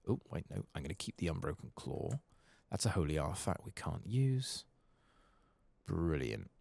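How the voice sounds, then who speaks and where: Very deep, gravelly soft-spoken ASMR man. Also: sing-song, normal speed, dry recording. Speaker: ASMR gaming host. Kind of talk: soft-spoken ASMR game playthrough with whispering.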